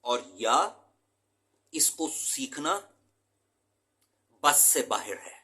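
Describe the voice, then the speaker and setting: A man's voice: medium pitch, expressive, extremely slow, pushed and bright. A man from Pakistan, an English teacher, giving an earnest, emphatic lecture to his students and mixing English terms into his talk.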